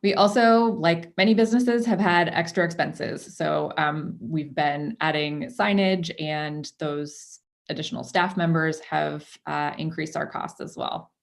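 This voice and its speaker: A woman's voice: low voice, fairly even intonation, measured pace, vocal fry. A woman giving a prepared report as a board chair at a public meeting. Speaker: outgoing chair of a market board. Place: video conference call.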